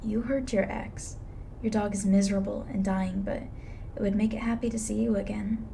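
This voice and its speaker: A woman playing a blunt, weary, reluctantly soft-hearted reaper in an intimate ASMR roleplay, dry and matter-of-fact. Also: medium pitch, steady tone, normal speed, gravelly, slight background noise, close to an everyday voice. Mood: exasperated, grudging.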